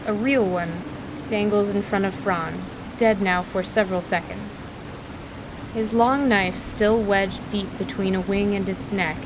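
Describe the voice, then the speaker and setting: Measured woman narrator over noisy ambience. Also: medium pitch. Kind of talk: scripted story narration.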